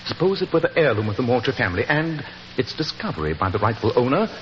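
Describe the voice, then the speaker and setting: Radio play sleuth, male actor: British, commanding, precise, mid-pitched, in a hissy, reverberant vintage recording. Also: sing-song, quick pace, muffled recording, close to an everyday voice. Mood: intent, reasoning. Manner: precise, confident, deductive. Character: incisive, commanding male gentleman detective. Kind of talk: 1940s radio acting.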